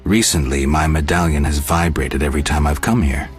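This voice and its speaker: A stoic monster-hunting man from a fantasy game. His voice sits extremely low and gruff. He speaks in terse, deadpan, sardonic lines, with music underneath. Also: fairly quick. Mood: skeptical. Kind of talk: video game voice acting.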